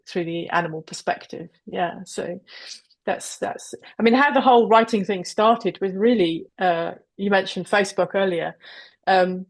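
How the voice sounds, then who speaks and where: A woman from South Wales, a guest author, talking in a hesitant way with many pauses and restarts over a video call. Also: on the low side. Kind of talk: casual interview chat.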